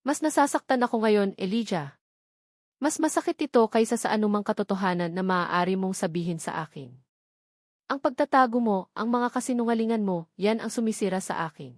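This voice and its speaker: Woman acting out a hurt angry wife in a radio-style love drama, accusing, mid pitch, dry sound. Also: fairly quick, no room sound, lightly acted. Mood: bitter, hurt. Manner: accusing, emotional. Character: betrayed wife, bitter, tearful and angry.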